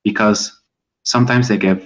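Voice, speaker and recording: A man's voice: on the low side, fairly even intonation, fairly quick, a bit bright. A young man from Morocco whose first language is Amazigh, calling in and speaking English hesitantly, searching for words with many fillers. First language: Amazigh (Berber). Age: young adult. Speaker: caller. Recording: dull lo-fi call audio.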